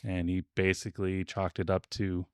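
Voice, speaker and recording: Low-voiced man hosting, very dry sound. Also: monotone, normal speed, clean tone, dry recording. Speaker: podcast host. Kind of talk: casual discussion with research notes.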